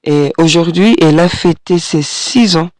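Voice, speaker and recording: A woman in her thirties hosting a solo podcast from a small studio, hoarse from a cold, speaking gently and encouragingly with hesitant pauses. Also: on the low side, dry recording. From Kenya. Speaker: podcast host and French teacher. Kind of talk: solo podcast monologue addressing the listener. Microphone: studio microphone.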